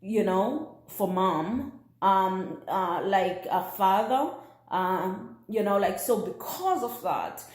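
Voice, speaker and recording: A woman's voice: medium pitch, lively intonation, slow, a little mellow. A South African woman whose first language is Xhosa, chatting casually to camera as a vlogger, with lots of fillers and restarts. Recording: roomy echo.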